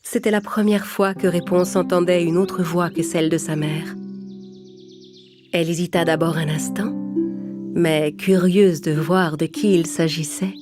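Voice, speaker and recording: A woman's voice: fairly low pitch, level tone, measured pace. A woman storyteller reading a scripted fairy tale for children. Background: music.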